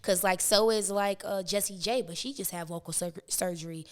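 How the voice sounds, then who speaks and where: A raspy-voiced woman in her twenties, a rapper talking as a podcast guest, rambling through her story with many fillers and restarts. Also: medium pitch, normal speed. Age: 20s. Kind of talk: casual interview chat.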